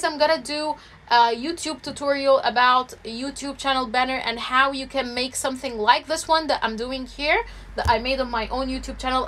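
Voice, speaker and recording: A woman's voice: high-pitched, fairly bright tone. A woman in her thirties coaching on YouTube growth as she reviews a channel over a screen recording, explaining step by step with frequent fillers and restarts.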